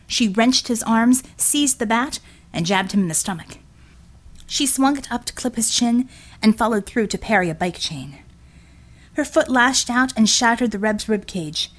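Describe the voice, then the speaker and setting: A woman's voice: fairly high pitch, animated, normal speed. A woman reading a scripted action story as a dramatic audiobook narrator.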